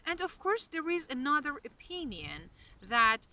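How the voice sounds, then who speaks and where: A woman in her thirties with a Persian accent, talking to camera outdoors as a language teacher, unhurried and with hesitant fillers. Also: high voice, big pitch swings, sharp tone, slight background noise. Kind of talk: casual spoken lesson to camera. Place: outdoors on a mountain hillside. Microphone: camera from a short distance. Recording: outdoor camera audio, a bit distant.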